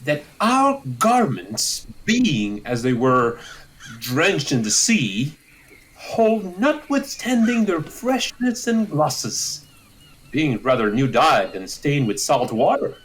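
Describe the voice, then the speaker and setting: Man as a kindly old Shakespearean courtier, slow, lilting delivery, optimistic, long-winded. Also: on the high side, sing-song, a bit bright, heavily acted, low-quality recording. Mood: earnest cheer. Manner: wordy, earnest. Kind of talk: Shakespearean audio drama acting.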